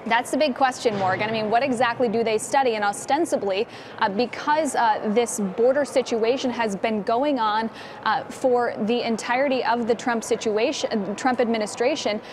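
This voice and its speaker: A woman's voice: on the high side, moderate pace, noisy background. An American woman reporting live as a television news correspondent.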